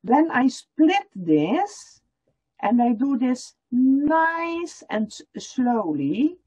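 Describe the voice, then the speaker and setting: Patient woman demonstrating a craft on a video call; slow, expressive delivery, dull audio. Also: high voice, breathy edge. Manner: explains step by step, repeating lines in German. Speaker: felting teacher. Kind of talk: online workshop demonstration.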